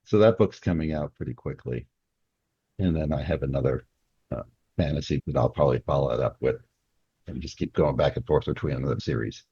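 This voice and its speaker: A man's voice: a bass voice, brisk, dark tone, husky, no room sound, muffled recording. A man answering as an interview guest and author, explaining things in a casual, conversational way.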